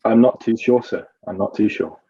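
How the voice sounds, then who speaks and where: A man's voice: lowish voice, moderate pace, mellow, slightly rough, muffled recording. A man playing a nervous, evasive Roman guard corporal testifying in a courtroom drama, hesitant and deferential. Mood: evasive, uneasy.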